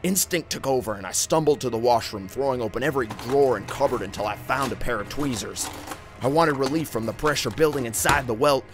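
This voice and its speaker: A man narrating a scripted first-person horror story in an expressive, suspenseful storytelling manner. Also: mid-range voice, fairly quick. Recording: music bed under narration. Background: background music.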